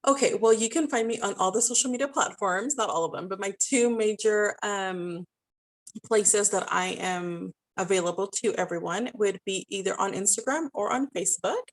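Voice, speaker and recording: A woman's voice: medium pitch, moderate pace, rough recording. Encouraging woman with a Spanish accent. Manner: encouraging, informal. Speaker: podcast guest, style coach and network marketer. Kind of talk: conversational interview advice.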